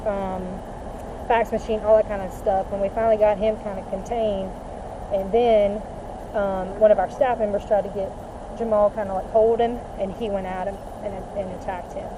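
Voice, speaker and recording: A woman's voice: mid-range voice, little pitch movement, moderate pace. Casual woman on muffled, noisy audio. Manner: informal, colloquial. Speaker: behavioral health facility staffer. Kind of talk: casual recounting to police. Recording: muffled, noisy police body camera audio.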